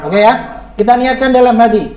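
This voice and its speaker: A man teaching a class, hoarse and slightly raspy from a cold, quizzing his students in a lively way. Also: very high voice, moderate pace. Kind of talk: classroom lesson. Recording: echoey classroom from far off, students behind.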